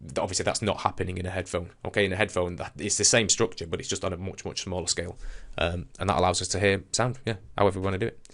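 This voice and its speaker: A man with a low voice gives an informal science lesson. He explains things conversationally, as a teacher does when thinking aloud through a demonstration. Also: steady tone, very fast, hardly any pauses, fairly bright tone.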